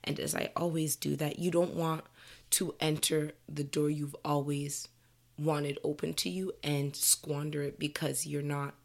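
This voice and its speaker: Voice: medium pitch, flat delivery, unhurried, gravelly. A podcast host with a froggy voice from a slight cold, giving a candid, earnest motivational monologue to listeners.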